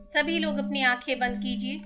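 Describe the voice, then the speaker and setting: A woman with an Indian accent hosting a guided meditation, giving gentle, soothing instructions in drawn-out phrases. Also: sits high, steady tone. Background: meditation music with singing bowl tones.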